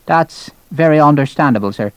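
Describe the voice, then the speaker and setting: A man's voice: on the high side, lively intonation, normal speed, smooth, dry recording, close to an everyday voice. A man voicing an amiable Irish army captain with a brogue and a charming, reasonable manner, in an audiobook. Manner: charming, reasonable, matter-of-fact.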